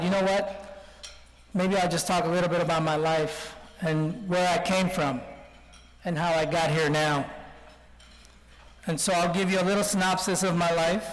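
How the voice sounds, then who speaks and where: High-voiced man speaking in a reverberant hall. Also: fairly bright tone, a little hiss or hum. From Colombia, then Texas from childhood. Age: 40s. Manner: joking, anecdotal storytelling. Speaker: guest speaker. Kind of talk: informal speech with self-deprecating humour. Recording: reverberant hall, speech over PA.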